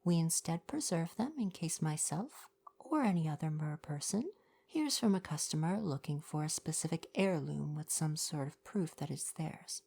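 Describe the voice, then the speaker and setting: A woman playing a kind young mer person shopkeeper in an ASMR roleplay, speaking softly in polite, articulate, formal retail patter. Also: low-pitched, slightly rough, full character voice.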